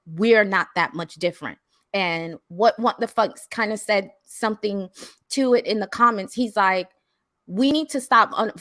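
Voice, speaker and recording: A woman's voice: medium pitch, fairly bright tone, no room sound, studio quality. A young woman from a Jamaican household, talking earnestly on a live panel and often restarting her words.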